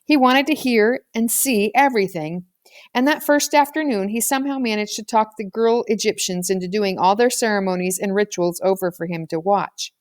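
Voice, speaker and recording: A woman's voice: medium pitch, moderate pace. A woman reading a children's novel aloud as scripted audiobook narration. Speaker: audiobook reader.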